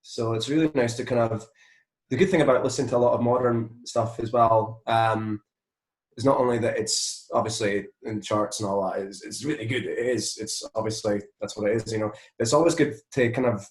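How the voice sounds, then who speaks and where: A man's voice: medium pitch, steady tone, a little fast. A Scottish man chatting informally as an interview guest, recorded in a roomy, echoing space. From Scotland. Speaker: interview guest, musician and songwriter. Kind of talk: casual interview chat.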